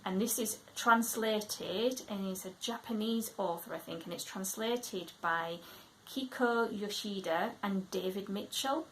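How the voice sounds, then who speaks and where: A woman with a Yorkshire accent talks through her reading list in a casual, measured way, her voice sitting at an everyday middle pitch. She reads book blurbs aloud and often trails off into quieter asides. A faint hiss lies under her voice. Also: poor audio. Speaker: book vlogger.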